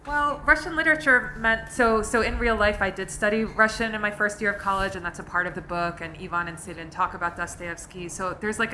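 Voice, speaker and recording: Middle-aged woman author, bright tone, faint room noise, reflective and chatty. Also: mid-range voice. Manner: thoughtful, uses many fillers like "like". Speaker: author being interviewed. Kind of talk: live author talk.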